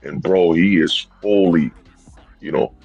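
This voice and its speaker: Low husky man ranting on a stream. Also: measured pace, a little mellow, highs cut off, soft background music. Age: 30s. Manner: rambling, keeps saying "bro" and "you know what I'm saying". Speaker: streamer host. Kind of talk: casual livestream rant.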